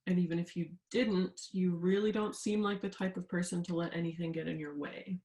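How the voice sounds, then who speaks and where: A woman's voice: on the low side, fairly even intonation, brisk, a little mellow, lightly acted. A young woman playing an insistent, haunted student searching for the truth in a staged reading, earnest and full of pressing questions. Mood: urgent, probing. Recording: roomy, echoing sound.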